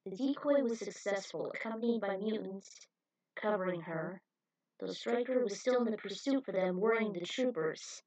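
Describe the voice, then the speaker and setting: A woman's voice: mid-range voice, normal speed, a little mellow, breathy edge, poor audio. A woman reading scripted narration of an action story as its narrator. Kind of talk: scripted fan fiction narration.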